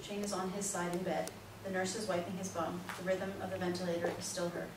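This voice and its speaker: A woman's voice: lowish voice, level tone, fast. A woman reading stage directions as scripted narration for a play reading. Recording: echoey room, distant mic, noisy.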